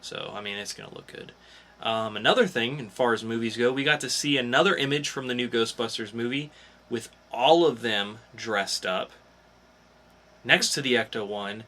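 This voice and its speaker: A man's voice: medium pitch, moderate pace, sharp tone. A man co-hosting a podcast, chatting casually and enthusiastically about entertainment news in an informal fan's way. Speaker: podcast co-host.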